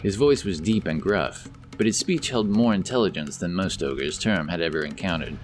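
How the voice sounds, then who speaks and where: A man with a deep voice narrates a scripted fantasy tale. He serves as storyteller of an audio drama, with light music underneath. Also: lively intonation, moderate pace.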